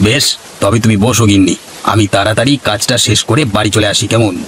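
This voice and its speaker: একজন পুরুষের অভিনয়ে সরল, কাতর গ্রাম্য জেলে. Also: male voice, on the low side, steady tone, fast, a bit bright, a little hiss or hum, lightly acted. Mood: reassuring. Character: humble, honest village fisherman husband, distressed and trusting. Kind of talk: animated Bengali folk-tale dialogue. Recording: music behind the voice.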